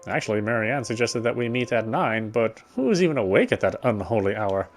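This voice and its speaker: A man's voice: fairly low pitch, animated, a little fast. A man reading first-person visual novel narration aloud as he plays the game. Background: background music.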